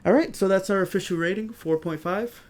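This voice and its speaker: A man's voice: high-pitched, a little fast. A man co-hosting a review podcast, chatting and telling anecdotes in informal slang. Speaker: podcast co-host reviewing films. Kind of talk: casual chat and storytelling.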